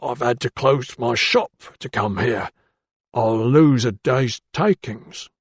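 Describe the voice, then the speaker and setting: A man's voice: medium pitch, slow, husky, no echo. A man doing a thin, bleating shopkeeper. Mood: wheedling. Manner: wheedling and deferential, keeps saying sir. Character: timid, grasping male shopkeeper, working class.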